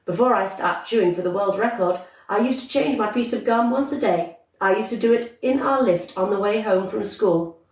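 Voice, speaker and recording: A brash young girl from a storybook, acted out by a woman, brags loudly and emphatically. The voice is pitched up high and bossy. It sounds roomy and a bit away from the mic. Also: normal speed, close to an everyday voice. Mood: bragging, excited. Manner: loud, emphatic, bragging chatter. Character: brash, boastful gum-chewing young girl, bossy and excitable. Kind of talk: storybook character voice. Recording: echoey room, distant microphone.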